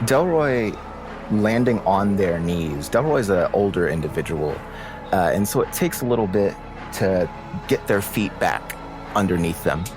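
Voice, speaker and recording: A man playing a tabletop game, narrating his own character in halting, deliberate phrases over faint music. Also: deep voice, lively intonation, poor audio. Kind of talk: improvised tabletop game storytelling.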